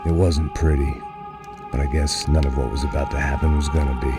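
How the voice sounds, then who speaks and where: Gruff middle-aged ex-cop, weary and bitter, very deep voice, game cutscene. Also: male voice, fairly even intonation, a little fast, loud music behind the voice. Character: weary hard-drinking ex-cop bodyguard, middle-aged man. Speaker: game protagonist.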